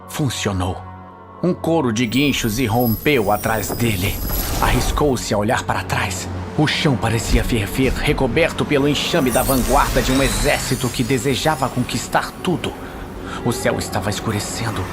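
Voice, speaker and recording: Solemn Brazilian man narrating over music. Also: medium pitch. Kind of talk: dramatic fantasy audiobook narration. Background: dramatic music.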